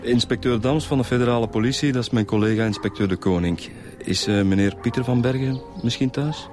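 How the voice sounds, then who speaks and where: A man's voice: mid-range voice, brisk, over music, lightly acted. A man playing an easygoing, slightly grumbling police inspector in a radio drama, with a Flemish accent. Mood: polite, official.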